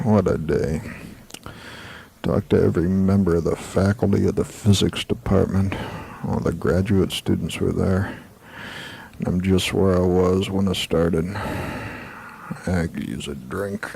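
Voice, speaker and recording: A man playing a proud, weary literature professor in a 1980s audio drama, self-assured but a little rambling, with a gravelly, husky voice. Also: deep voice, slow, noisy background, heavily acted. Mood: weary, musing. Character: stubborn, weary male Shakespeare professor, proud of his expertise.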